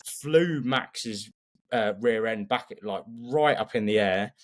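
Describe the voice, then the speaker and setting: A man's voice: lowish voice, slow. A man co-hosting a motorsport podcast, analysing in a casual, opinionated and emphatic way.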